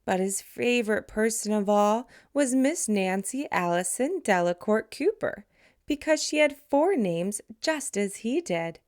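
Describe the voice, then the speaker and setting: A woman reading a picture book aloud to children in a deliberate, expressive storytelling manner. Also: medium pitch.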